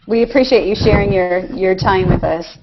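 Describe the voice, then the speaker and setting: A woman's voice: mid-range voice, normal speed. Woman hosting a video hangout, casual questions, muffled lofi call w/ noticeable noise. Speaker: host of a live video hangout. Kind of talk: casual interviewing and reading viewer comments. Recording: dull, noisy internet video call.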